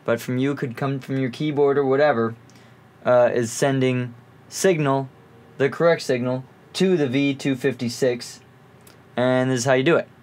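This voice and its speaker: A man's voice: medium pitch, fairly even intonation, moderate pace, a little mellow. A man presenting an informal music gear tutorial, explaining in an offhand way as he thinks aloud.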